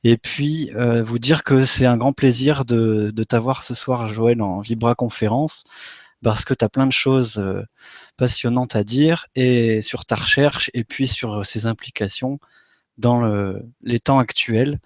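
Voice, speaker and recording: A man hosting a live online conference, introducing his guest in a quick, friendly way with fillers. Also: mid-range voice, fairly even intonation, no echo, clean studio sound. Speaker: host.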